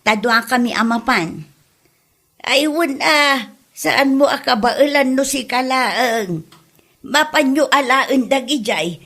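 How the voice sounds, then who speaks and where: A woman acting a chatty rural Filipino wife and mother in a comedy drama, with an Ilocano accent and an expressive, drawn-out colloquial way of talking. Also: sits high, exaggerated voice. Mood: concerned, coaxing.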